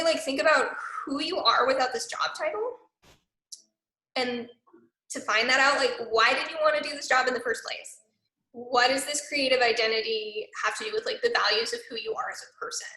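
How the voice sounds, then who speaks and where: A woman's voice: a little high, sharp tone, roomy sound, poor audio. A young woman and arts program director who talks casually with many fillers. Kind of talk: casual online talk.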